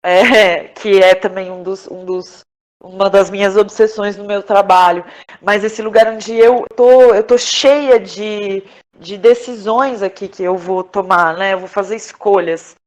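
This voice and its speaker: A Brazilian woman from Porto Alegre speaking reflectively as an interview guest, halting and searching for her words. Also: mid-range voice, steady tone, poor audio. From Porto Alegre, southern Brazil. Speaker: podcast guest, theatre director, playwright and actress.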